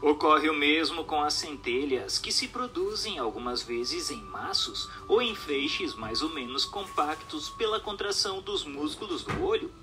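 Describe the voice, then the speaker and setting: Guy narrating a book, formal, really lively pitch, bit high, music behind. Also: a little slow, hardly any pauses. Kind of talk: scripted audiobook-style narration of a text.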